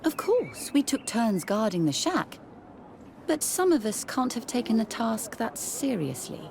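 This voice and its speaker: A woman playing a busy, formal religious scholar in charge, brisk and composed, in a video game. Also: a little high, sing-song, darkish tone, a little hiss or hum. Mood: matter-of-fact. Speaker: supervisor of theologians.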